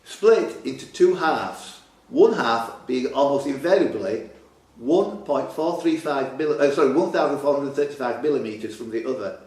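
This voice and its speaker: A man's voice: mid-range voice, lively intonation, moderate pace, a little mellow. A man hosting a live pub quiz, reading out questions and teasing the contestants. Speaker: quiz master. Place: indoor club room. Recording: echoey room, clear background noise.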